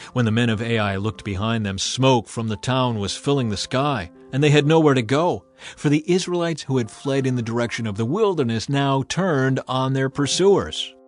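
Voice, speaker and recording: A man narrates an audio Bible in a scripted, dramatized style. His voice sits at a middle pitch, with music playing behind him. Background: background music.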